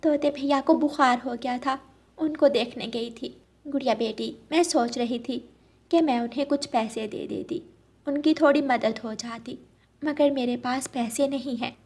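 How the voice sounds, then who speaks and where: A high-pitched mother sparrow voiced by a woman in a cartoon. She is caring yet firm, and speaks in a motherly way. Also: a little slow, darkish tone, breathy edge, full character voice. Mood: concerned. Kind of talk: Hindi cartoon story dubbing.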